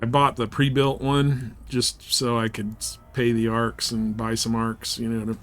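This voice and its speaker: A man livestreaming a game, chatting casually in rambling, halting thoughts as he plays. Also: mid-range voice, little pitch movement, a bit bright, faint music behind. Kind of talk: casual chat while playing. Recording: noticeable background noise and game sounds. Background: game ambience and ship computer announcements.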